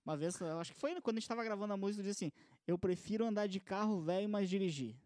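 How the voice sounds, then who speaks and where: High-voiced man in relaxed podcast chat. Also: rapid-fire, no room sound, clean studio sound. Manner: conversational, musing, frequent "cara" and "né". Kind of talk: casual chat.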